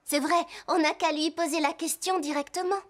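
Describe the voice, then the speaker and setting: A chatty teenage girl who loves horses in a cartoon. She speaks very high and animated, eager to share every thought. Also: moderate pace. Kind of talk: cartoon dub voice acting.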